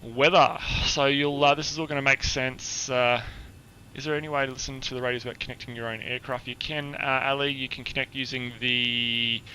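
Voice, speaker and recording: A hoarse, husky-voiced man giving a chatty, explanatory flight briefing on a live stream, with many filler words. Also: mid-range voice, steady tone, fairly quick, bright, forceful tone, slight background noise, poor audio.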